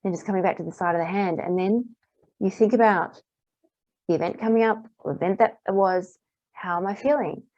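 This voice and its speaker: Calm, warm-voiced woman guiding a tapping lesson. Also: mid-range voice, moderate pace, no echo. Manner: calm, repetitive guiding phrases. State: slightly hoarse, clearing throat. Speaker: EFT tapping practitioner. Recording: dull, muffled dry recording.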